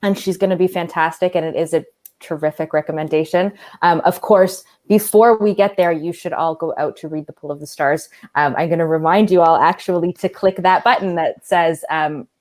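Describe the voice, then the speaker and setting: A woman's voice: fairly low pitch, steady tone, fairly quick, a little mellow. A woman event host giving informal thanks and announcements to an online audience.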